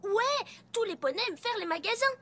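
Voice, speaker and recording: Tiny, squeaky, plucky cartoon child. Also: female voice, lively intonation, a little fast, a bit bright. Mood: indignant. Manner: eager, childish, indignant. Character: small, plucky little-sister child creature, curious and protesting. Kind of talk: animated cartoon dialogue dub. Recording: music behind the voice.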